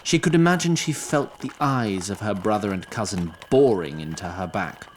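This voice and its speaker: A man's voice: on the low side, expressive, moderate pace, a little hiss or hum. A man narrating a scripted fantasy story in a wry storytelling manner.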